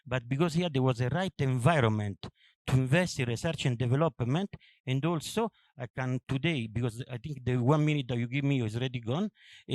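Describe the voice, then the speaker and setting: A man's voice: medium pitch, no room sound. Italian-accented man on a panel. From Italy. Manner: halting, non-native phrasing, laughs at own story. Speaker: company CEO on a business panel. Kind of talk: panel introduction.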